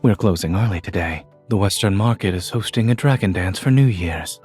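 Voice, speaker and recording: An actor playing a calm, formal young general in a romance game, speaking in a measured, dryly teasing way. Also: male voice, low voice, breathy edge, soft background music. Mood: calm, gentle. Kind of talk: restrained romance game voice acting. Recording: screen-recorded game audio with faint noise.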